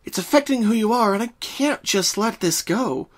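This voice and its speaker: Male reader as caring cartoon mare unicorn: raised pitch, earnest, reassuring friend. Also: lively intonation, moderate pace. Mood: pleading concern.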